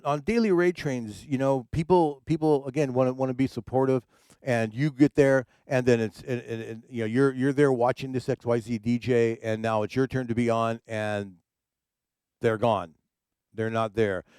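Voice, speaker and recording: A man's voice: mid-range voice, fairly even intonation, normal speed, darkish tone, clear, resonant tone, no room sound. A man who works as a DJ, hosting a casual livestream chat from his home studio, rambling with many fillers and repeated words. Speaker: streaming DJ and show host.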